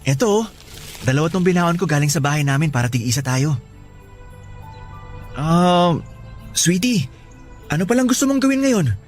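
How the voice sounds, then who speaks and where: A man playing a sweet, eager young college boyfriend in a Filipino radio drama, gentle and a little hesitant. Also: sits high.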